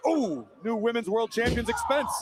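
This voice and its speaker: A man's voice: extremely high pitch, lively intonation, moderate pace, heavy background noise. A man doing color commentary, analyzing the action and bantering with his partner, heard as a broadcast played through a stream. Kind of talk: live TV sports commentary.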